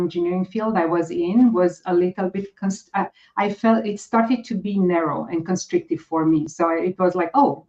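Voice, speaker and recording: A woman with a Romanian accent talks through her story in a lower register, pausing often and restarting her sentences. The sound is muffled and lo-fi. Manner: hesitant, many fillers and restarts. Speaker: guest, personal coach. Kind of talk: casual interview talk. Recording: dull lo-fi audio.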